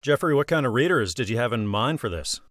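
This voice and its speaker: A man's voice: medium pitch, expressive, fast, a bit bright, no room sound. Dry-sounding male show host. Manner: polished, promotional. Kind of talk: interview hosting.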